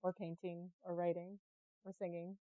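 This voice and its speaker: A woman talking casually and candidly as an interviewed artist, chatty, expressive and a little self-deprecating. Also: on the low side, normal speed, no echo, phone-quality sound. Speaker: interviewed artist and creative director. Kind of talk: casual, candid podcast conversation.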